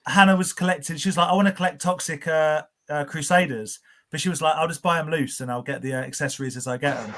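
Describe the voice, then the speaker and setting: A man's voice: a little high, fast, a bit bright. A man on a podcast with friends, telling casual anecdotes as a guest. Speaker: podcast guest and toy collector.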